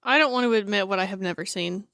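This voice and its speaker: Candid man in a clear, dry podcast chat. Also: high-pitched, fast talker, bright, forceful tone, no room sound. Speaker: podcast co-host. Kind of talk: casual chat.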